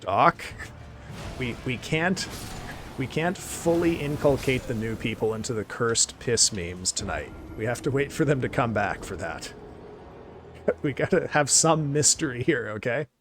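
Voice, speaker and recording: Excitable male streamer losing his voice. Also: mid-range voice, measured pace, noisy background. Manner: excitable, thanking viewers, swearing in surprise. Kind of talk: casual live-stream chat.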